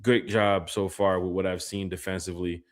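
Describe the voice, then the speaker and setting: A man's voice: low voice, little pitch movement, moderate pace. A man co-hosting a casual sports podcast, talking in a laid-back, joking drawl. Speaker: podcast co-host.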